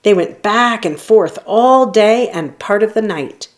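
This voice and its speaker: A woman reading a children's story aloud in an expressive storytelling manner, leaning on key phrases. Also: medium pitch, unhurried. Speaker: storybook reader. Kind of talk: children's read-aloud narration.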